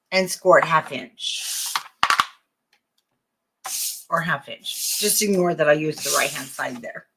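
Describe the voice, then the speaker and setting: Older female craft host, slightly hoarse, bright and low-set, talks casually to viewers while working. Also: rough recording. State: losing her voice. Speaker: craft teacher. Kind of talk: live-streamed craft tutorial.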